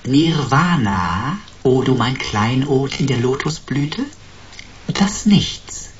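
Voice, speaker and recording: Casting: smug talking computer in radio sci-fi, florid and mock-servile, swooping intonation, slightly dull sound. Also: male voice, medium pitch, lively intonation, slow pace, husky. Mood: mock-mystical. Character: cheeky, pompous, sarcastic talking computer sidekick. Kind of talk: German sci-fi radio play acting.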